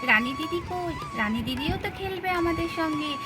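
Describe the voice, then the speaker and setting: Excitable little kid doll, played by a woman, high voice, pleading and repetitive, children's story, music behind. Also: normal speed. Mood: excited. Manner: pleading, exclaiming, repeating phrases.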